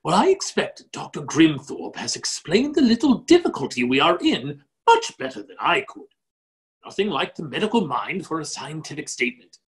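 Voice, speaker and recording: A man's voice: on the high side, very animated, moderate pace. A middle-aged man playing a cheerful, scatterbrained duke in an online play reading, chatty and forcedly jovial. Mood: genial. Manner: bumbling, chatty, repeats himself. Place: office or lobby, video call.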